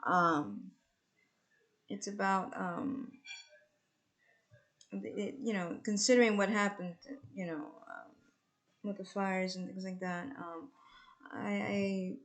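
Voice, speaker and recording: A woman giving an amateur, emotional and expressive first-person reading of poetry. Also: mid-range voice, takes it slowly, long pauses.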